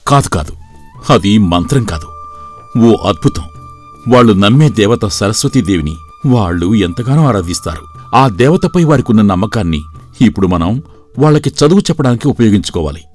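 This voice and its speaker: A man playing an earnest, resolute young village idealist in a moral story, speaking deliberately and persuasively. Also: lowish voice, expressive, a little mellow, natural voice. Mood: thoughtful. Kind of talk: acted dialogue in a moral story. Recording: music bed under the voice.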